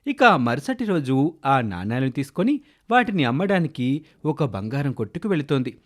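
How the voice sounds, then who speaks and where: A man's voice: mid-range voice, sing-song, moderate pace. A man narrating a scripted children's moral story.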